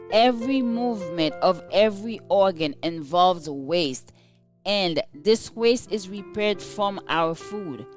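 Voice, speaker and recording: Slow, deliberate woman reading a devotional. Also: mid-range voice. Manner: measured, deliberate phrasing. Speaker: devotional reader. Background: instrumental music.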